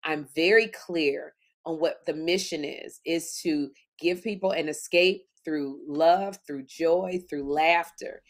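A woman in her fifties with a low, deep voice talks in a lively, casual way. She tells her stories with energy and slips into acted-out quotes as she goes. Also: slow pace. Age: 50s. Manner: animated storytelling, acts out quotes. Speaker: podcast co-host. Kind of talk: casual faith-filled chat.

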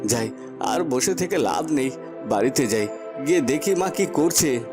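A man's voice: on the high side, animated, a little slow. A man playing a poor, hardworking young farmer in a cartoon fairy tale, earnest and plaintive, over music. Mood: sorrowful. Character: poor hardworking young village farmer, dutiful, sorrowful son.

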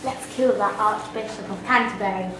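A woman's voice: mid-range voice, moderate pace, lightly acted. A schoolgirl playing a bold, scheming rebel peasant in an amateur puppet play. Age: schoolgirl, about 12. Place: school classroom. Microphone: distant camera. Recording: echoey classroom, distant, background noise.

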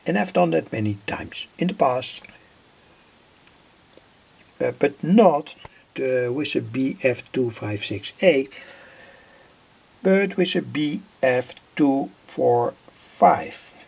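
A man explaining a homemade electronic circuit informally, in a halting, deliberate way with pauses. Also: medium pitch, lively intonation, creaky, a little mellow, husky. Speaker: electronics hobbyist presenter.

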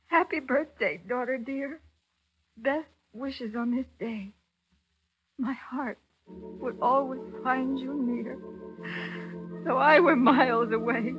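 An earnest married daughter in 1940s radio drama style. Her voice is husky and a little high, tender and emotional. The broadcast sounds dull and muffled. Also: full character voice. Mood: tearful. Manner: tender, pleading.

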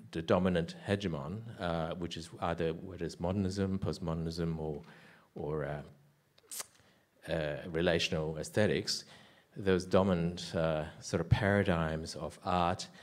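An older man on a panel stage, speaking reflectively into a handheld mic with many pauses and fillers. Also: sits low, darkish tone. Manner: hesitant, many fillers and restarts. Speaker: artist on a panel. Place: stage before an audience.

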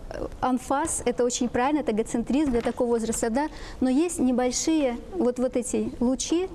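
A middle-aged woman explaining things as an expert guest on a talk show, in a halting way that repeats phrases. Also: sits high, warm tone, a little hiss or hum. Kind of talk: explaining children's drawings to parents. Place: TV studio with seated guests.

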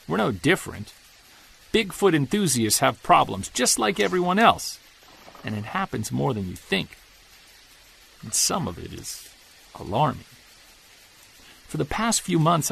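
An actor playing a weary middle-aged Bigfoot investigator, narrating an audio diary in an earnest, confessional way. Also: male voice, mid-range voice, gravelly, slight background noise. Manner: confessional, earnest, quietly troubled. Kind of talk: scripted audio drama narration.

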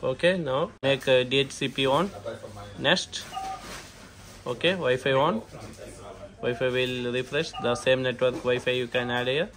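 A man who is not a native English speaker gives a hands-on setup walkthrough in short, halting phrases with frequent okays. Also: mid-range voice. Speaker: tutorial presenter, technician. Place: indoor shop or office. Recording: noisy room, clear background noise.

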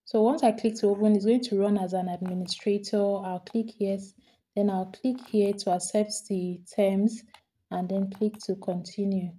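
Mellow-voiced woman, level and unhurried, walking viewers through a computer setup one click at a time. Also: medium pitch. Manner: steady step-by-step instruction, repeats each step. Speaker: tutorial host. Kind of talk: screen-recorded software tutorial.

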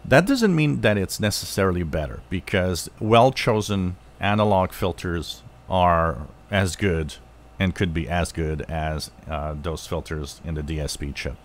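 Deep voiced guy doing an off the cuff explainer, kinda halting, thinks out loud. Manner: halting, thinking aloud in short phrases. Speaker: channel host reviewing radios. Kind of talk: unscripted explanatory talk.

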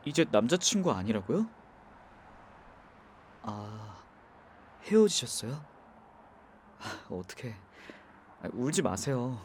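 A man playing a gentle young driver. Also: medium pitch, sing-song, takes it slowly, pauses often, slight background noise. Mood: gentle, comforting. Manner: soft, gentle, comforting. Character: young man driving, kind and soft-spoken. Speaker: voice actor in an audio drama. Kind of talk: ASMR audio drama acting.

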